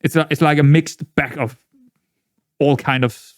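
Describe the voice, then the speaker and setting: A young man with a Danish accent, a native Danish speaker, chatting casually through a football management game, rambling with many restarts and jumping into excited outbursts. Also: a little high, unhurried, no echo, studio quality. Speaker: gaming YouTuber.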